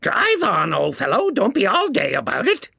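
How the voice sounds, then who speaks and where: A man voicing a gruff, impatient male Gryphon with a rough, ungrammatical way of talking. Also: high voice, wildly swooping pitch, normal speed, pushed and bright, dry recording, clean studio sound, pitched above the performer's own voice, exaggerated voice. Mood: bossy. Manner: brusque, ungrammatical, bossy.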